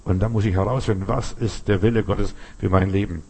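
Husky-voiced man preaching a sermon. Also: on the low side, steady tone, brisk, a little mellow. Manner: emphatic, rhetorical questions, frequent restarts.

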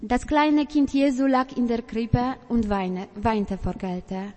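High-voiced woman reading very slowly. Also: fairly even intonation, long reverb tail. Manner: very slow, gentle storytelling. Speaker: church reader. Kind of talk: reading a Christmas story.

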